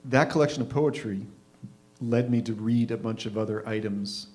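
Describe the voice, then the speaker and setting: A man in his fifties from Canada hosting a live show, telling a personal story informally in a wry, reflective way with deliberate emphasis. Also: mid-range voice, darkish tone. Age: 50s. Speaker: host of a live readers' theatre show.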